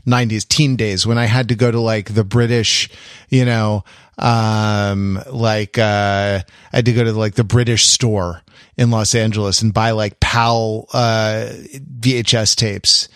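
Gravelly low-voiced man, stammering. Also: little pitch movement, dry recording. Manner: halting, stammering starts, dry jokes. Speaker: podcast co-host. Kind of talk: casual discussion.

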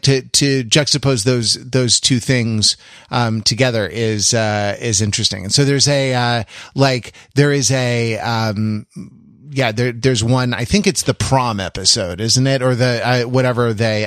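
A man on a podcast, thinking aloud with frequent "um"s and restarts before landing a point. His voice sits at a middle pitch, and the recording is dry and close with no room sound. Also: fairly even intonation, fairly bright tone, no echo. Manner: halting, many fillers and restarts. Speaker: podcast co-host. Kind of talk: casual podcast discussion.

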